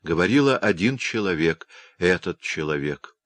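Deep-voiced male audiobook narrator. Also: lively intonation, moderate pace, a bit bright.

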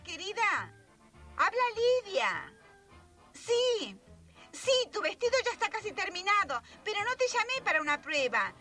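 A woman's voice: very high-pitched, sharp tone, full character voice. An actress playing a chatty, busybody dressmaker mother in a radio drama, with an Argentine accent and a gushing, exaggerated manner. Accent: Argentine, Rioplatense.

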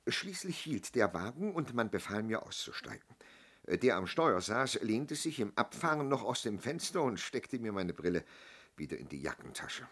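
Older male witness: very low husky voice, swooping melody, polite, halting, explains too much, radio drama. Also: big pitch swings, a bit bright, heavily acted. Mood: polite, hesitant. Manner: halting, over-explaining, courteous. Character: polite, hesitant older male robbery victim giving a statement. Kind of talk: German radio play acting.

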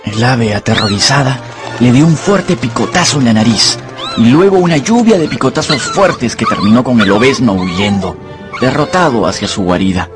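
A man's voice: medium pitch, expressive, normal speed. A man narrating a dramatised story for radio from a script. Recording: music under the voice.

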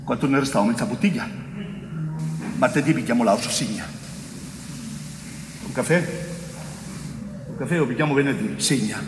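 A man's voice: moderate pace, pauses often, close to an everyday voice. Man acting weary Sicilian prisoner, echoey hall. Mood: weary, resigned. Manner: low, repetitive listing, subdued. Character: older imprisoned Giuseppe, weary, bitter convict remembering. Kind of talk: solo theatre monologue. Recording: echoey hall, long reverb, music behind.

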